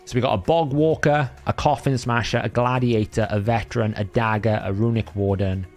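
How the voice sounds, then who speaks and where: A man's voice: mid-range voice, steady tone, moderate pace, a little mellow, faint music behind. A Welsh man whose first language is Welsh hosts a live game stream, thinking aloud in a chatty way and often correcting himself. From Wales. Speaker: livestream host. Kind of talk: casual gameplay commentary.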